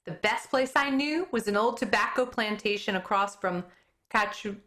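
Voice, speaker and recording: A woman, an elementary school teacher, reading a children's book aloud with expressive storytelling, stumbling over hard names and commenting on them. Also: medium pitch, normal speed.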